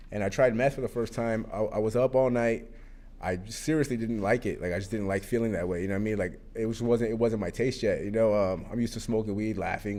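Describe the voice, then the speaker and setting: A man's voice: mid-range voice, a little mellow. A man in his thirties telling his recovery story at a support meeting, candid and rambling with lots of fillers and cursing. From Arizona. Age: 30s. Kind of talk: personal recovery testimony.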